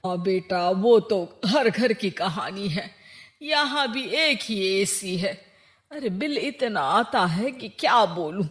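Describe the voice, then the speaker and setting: A woman's voice: medium pitch, animated, slow. A woman voicing a bossy middle-aged mother, scolding and emphatic, in a cartoon story. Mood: doting, advising. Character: bossy middle-aged mother, doting on her married daughter.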